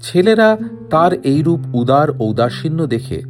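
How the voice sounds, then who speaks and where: Guy reading a classic story, super expressive up and down voice, guitar music under it. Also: fairly high pitch, moderate pace. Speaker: narrator. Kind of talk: expressive scripted literary story narration. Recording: music behind the voice. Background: plucked guitar-like music.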